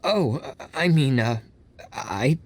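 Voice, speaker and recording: An actress playing a timid junior official in a game, hesitant and halting, mildly protesting. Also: female voice, rumbling low, lively intonation, a little mellow, heavily acted.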